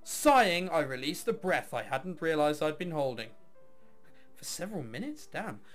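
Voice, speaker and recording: A man's voice: fairly high pitch, very animated, moderate pace, faint music behind. A man reading a visual novel aloud, mixing dramatic narration with casual, offhand commentary. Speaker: video game let's player.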